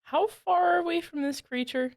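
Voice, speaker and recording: A woman playing a tabletop role-playing game, talking casually and deliberately as she thinks aloud. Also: extremely high pitch.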